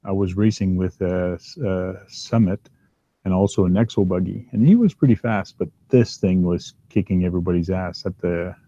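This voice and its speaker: A man with a big, low voice rambles casually as he hosts from his workbench at home, filling gaps with ums and uhs. The sound is dry and a little dull, with no room echo. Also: normal speed, dark tone. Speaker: host. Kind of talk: casual chat while working at a hobby bench.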